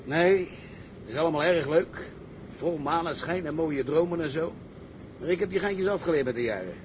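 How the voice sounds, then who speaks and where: Male actor for sceptical ship's engineer: wry, down-to-earth, fairly high voice, seafaring drama, faint ambient noise. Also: moderate pace, close to an everyday voice. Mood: dismissive. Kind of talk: dramatised seafaring story, scripted acting.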